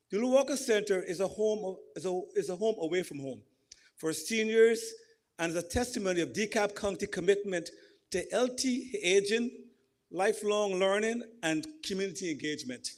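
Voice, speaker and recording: A man from Guyana with a Guyanese accent, giving an emotional, halting speech at a community reception. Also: high voice, animated. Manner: emotional, halting, repeats and corrects himself. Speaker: event speaker. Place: community center hall.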